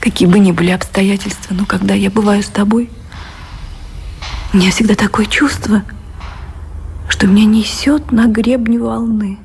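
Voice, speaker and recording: Actress as earnest, wistful gentlewoman, faint hiss. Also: mid-range voice, fairly even intonation, normal speed, gravelly, pauses often, natural voice. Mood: tender, wistful. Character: anxious, devoted gentlewoman in love with an admiral. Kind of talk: Russian radio drama acting.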